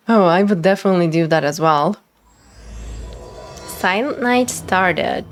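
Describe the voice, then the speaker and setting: A woman streamer giving gushing, excitable live commentary while playing a video game. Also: lowish voice. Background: game music.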